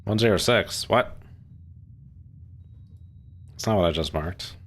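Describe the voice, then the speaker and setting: A man's voice: deep voice, slow pace. A young man from Maryland casually chatting with viewers while playing a game, swearing lightly and laughing often. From Maryland, USA. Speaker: streamer.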